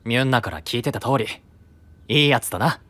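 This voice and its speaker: Frank, animated young anime knight. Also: male voice, mid-range voice, brisk, no echo. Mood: friendly. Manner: casual, straightforward. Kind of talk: Japanese anime audio drama acting.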